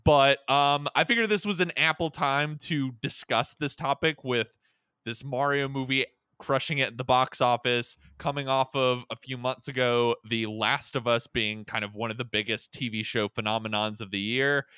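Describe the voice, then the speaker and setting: Guy hosting a podcast, casual and rambly, crisp voice, super dry sound no room. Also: mid-range voice, steady tone, moderate pace, bright, forceful tone, dry recording. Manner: rambling, with repeated words and self-interruptions. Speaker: podcast host. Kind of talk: casual chat about movies and games.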